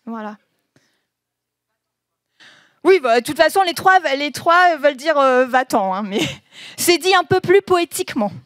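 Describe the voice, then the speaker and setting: Slow high-voiced woman explaining proverbs. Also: animated, fairly bright tone, no echo, clean studio sound. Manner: slow, laughing often, says proverbs then explains them. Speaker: presenter of Breton proverbs. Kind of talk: informal presentation with translations.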